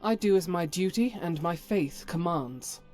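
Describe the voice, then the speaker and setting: A woman's voice: on the low side, expressive, unhurried, a little mellow, soft background music. A woman acting a stern, devout cleric in a fantasy video game, solemn, formal and righteous. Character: zealous, stern female cleric, devout and unyielding.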